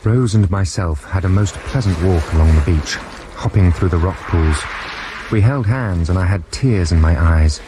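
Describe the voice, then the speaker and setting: A man's voice: deep voice, normal speed, natural voice. An actor playing a timid, earnest young German student who narrates his adventure in a German accent, in a radio drama. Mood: wistful. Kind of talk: dramatised first-person narration. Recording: 1990s radio broadcast with noisy effects behind.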